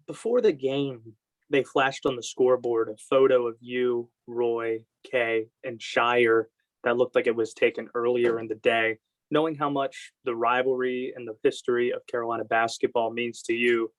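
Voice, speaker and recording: A man's voice: mid-range voice, no room sound, low-quality recording. American man, mid-range voice, sports reporter putting questions to a coach, very dry recording. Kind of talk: press conference questioning.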